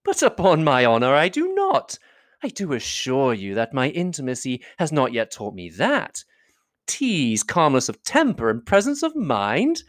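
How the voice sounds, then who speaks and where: A man's voice: on the high side, wildly swooping pitch, unhurried, a bit bright, no echo, pitched above the performer's own voice, full character voice. Haughty young society woman, read by a man; affected, swooping, dry close sound. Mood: protesting.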